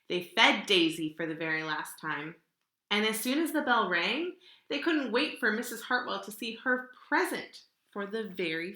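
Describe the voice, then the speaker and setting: Lady doing a kids book read-aloud, super bright and animated voice, dramatic, bit echoey room. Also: mid-range voice. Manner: expressive and dramatic, drawing out key words. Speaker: storybook reader.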